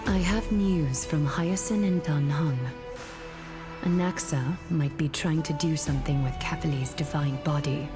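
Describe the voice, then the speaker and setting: Low-voiced, calculating leader. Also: female voice, over music. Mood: composed. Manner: measured, formal, poised. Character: composed, calculating female leader, cool and authoritative. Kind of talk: video game voice acting.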